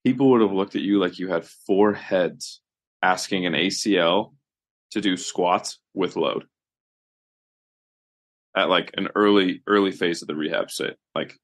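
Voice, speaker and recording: A man's voice: low-pitched, a little slow, pauses often. A man co-hosting a podcast, talking in a loose, conversational way with strong opinions. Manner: conversational, animated opinions, many fillers. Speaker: podcast co-host, physical therapist. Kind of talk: casual podcast discussion.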